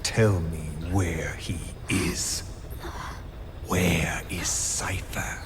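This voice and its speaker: An actor playing a cold, scheming male commander who interrogates slowly and menacingly in a low voice. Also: fairly even intonation. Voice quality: low, slow, gravelly menace. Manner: measured, brooding, interrogating. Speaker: villain interrogator. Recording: game cutscene with background noise.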